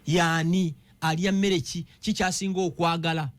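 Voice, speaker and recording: A Ugandan man on a radio talk show, talking politics in an animated way and firing one rhetorical question after another. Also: a little high, normal speed. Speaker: political commentator.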